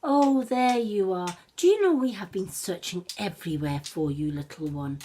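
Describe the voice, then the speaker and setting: A woman's voice: mid-range voice, animated, normal speed, warm tone, slight background noise, close to an everyday voice. A woman voicing a stern, protective mother wolf in a children's story, speaking firmly and with emphasis. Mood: relieved scolding. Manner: firm, reproachful and emphatic.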